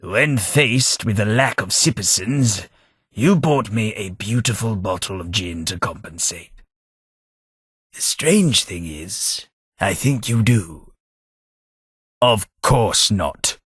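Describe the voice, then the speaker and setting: An actor playing an eccentric, long-lived aristocrat who rambles through wry, theatrical stories in a husky voice, for a video game. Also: male voice, expressive, a bit bright, no room sound, exaggerated voice. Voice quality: deep, husky, slow and theatrical. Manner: rambling storyteller, dry self-mocking wit. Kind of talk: game voice acting.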